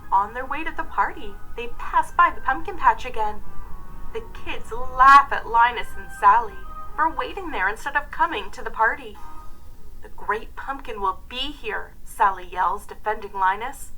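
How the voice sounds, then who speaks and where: A woman, narrating a children's story in an expressive, scripted read-aloud style. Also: on the high side, normal speed, soft background music, low-quality recording.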